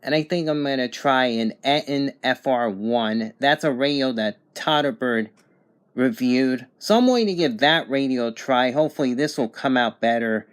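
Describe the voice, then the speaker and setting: A man's voice: medium pitch, normal speed, clear, resonant tone. A man giving a casual, unscripted product review in a plain-spoken, matter-of-fact way. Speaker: radio reviewer.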